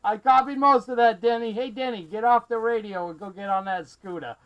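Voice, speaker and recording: High-voiced excitable man on CB radio. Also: fairly quick, highs cut off, background noise. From Massachusetts, USA. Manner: excitable, friendly, prone to raising his voice. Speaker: CB radio operator running the channel from South Carolina. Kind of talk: amateur radio contact chat with callsigns and sign-offs.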